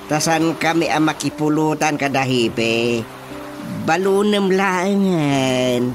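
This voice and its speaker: A man playing a scolding village chairman in a radio comedy drama, with a Filipino accent and drawn-out exclamations. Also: fairly high pitch, over music. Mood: grumbling. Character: scolding village chairman confronting a goat thief.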